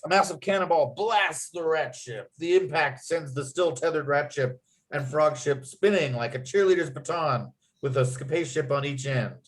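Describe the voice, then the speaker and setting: A man's voice: fairly high pitch, normal speed, poor audio. A man reading screenplay stage directions as the narrator of a table read.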